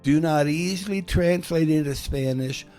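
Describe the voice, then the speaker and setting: Man, pastor, unhurried, measured pauses, faint background music. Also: a little high, mellow. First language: English. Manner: slow, measured, with pauses for interpretation. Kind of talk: bilingual sermon-style talk.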